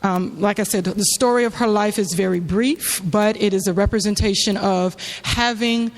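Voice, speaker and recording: A woman giving a semi-prepared religious talk in a halting, reflective way, telling a story with frequent pauses and fillers. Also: mid-range voice. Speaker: speaker giving a religious address. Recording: long hall reverb.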